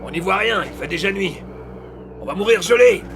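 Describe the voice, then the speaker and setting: A man's voice: sits high, lively intonation, fairly quick, over music. A man playing a seasoned, wary mountain guide, blunt and cautioning, in an audio drama. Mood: alarmed, exasperated. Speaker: voice actor. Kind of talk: French audio fiction acting.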